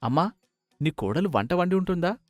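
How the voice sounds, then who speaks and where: A man voicing a hardworking, good-natured crow son in a cartoon, earnest and expressive. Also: high-pitched, normal speed, dry recording, faint music behind, pitched above the performer's own voice. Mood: doubtful.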